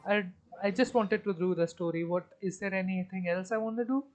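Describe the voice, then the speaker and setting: A woman live-streaming a game, chatting away as she plays. She thinks aloud, restarts her sentences while she counts things up, and keeps turning to her viewers for input. Her pitch sits in an ordinary middle range. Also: a little fast. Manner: thinks aloud, talks to chat. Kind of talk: casual live-stream commentary.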